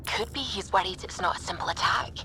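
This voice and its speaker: A woman's voice: mid-range voice, fast talker, over music, close to an everyday voice. A woman playing a dutiful, thoughtful starship bridge officer in a video game. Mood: concerned.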